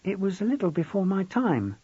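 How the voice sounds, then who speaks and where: Audiobook detective: young woman, high and halting, polite, easily rattled, played by a male narrator. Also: very animated, dark tone, breathy edge, no room sound, dull audio, full character voice. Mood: hesitant. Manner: hesitant, halting.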